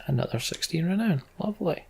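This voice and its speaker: Gravelly Scottish man doing game commentary. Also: a little high, lively intonation, fast, a little hiss or hum. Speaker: let's play host. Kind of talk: game commentary and dramatic narration of on-screen text.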